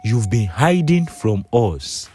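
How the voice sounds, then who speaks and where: Chummy, over-friendly male pal in a folktale voiced by a man. Effusive, animated, unhurried. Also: on the low side, faint music behind. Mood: jovial. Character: loud, gushing male friend, falsely chummy.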